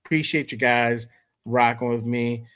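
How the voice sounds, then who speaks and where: A man with a cold, sounding hoarse, hosting a casual live stream from home, loose and slangy with lots of shout-outs to viewers. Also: mid-range voice, fairly even intonation, unhurried. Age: adult with kids. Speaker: host.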